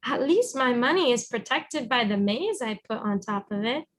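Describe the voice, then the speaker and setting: A woman reading a storybook aloud as a teacher, encouraging and pausing to guide with questions. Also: on the high side, lively intonation, slight background noise, poor audio. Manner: encouraging, instructive.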